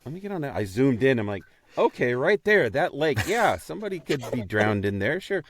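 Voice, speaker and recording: A man's voice: mid-range voice, very animated, fairly quick. A man co-hosting a podcast, chatting casually and jokily with a guest. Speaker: podcast co-host. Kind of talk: casual, jokey chat.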